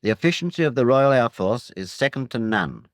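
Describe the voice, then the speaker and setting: A British man narrating a 1940s instructional film in a formal, measured, authoritative way. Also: mid-range voice, expressive, no room sound, studio quality. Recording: 1940 film soundtrack, digitally cleaned.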